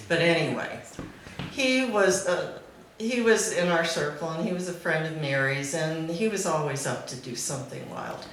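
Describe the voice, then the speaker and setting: Slow-talking woman in a very echoey room. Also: low voice, a bit bright. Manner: amused, often laughing as she talks. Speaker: speaker at a memorial celebration. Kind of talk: informal anecdote told to a gathering. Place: back room at a live gathering. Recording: very echoey room, faint noise.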